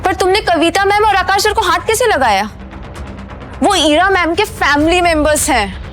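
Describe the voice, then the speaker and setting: A loyal, reproachful employee in an audio drama. Her voice is very high, with soft music behind it. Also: expressive, normal speed. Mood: shocked, reproachful. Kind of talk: Hindi audio series acting.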